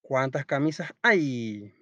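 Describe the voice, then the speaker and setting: A man's voice: medium pitch, animated, slow, sharp tone, dry recording, highs cut off. A man reading a children's school lesson aloud like a teacher, step by step, posing questions and answering them himself. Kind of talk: read-aloud storytelling and step-by-step lesson narration.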